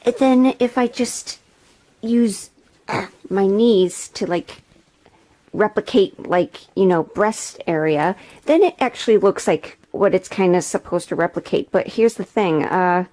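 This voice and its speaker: A woman's voice: mid-range voice, measured pace, mellow. Casual, rambling woman vlogger. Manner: rambling and self-deprecating, with casual filler words. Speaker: video host and hobby historical seamstress. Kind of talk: casual sewing vlog chat, thinking aloud.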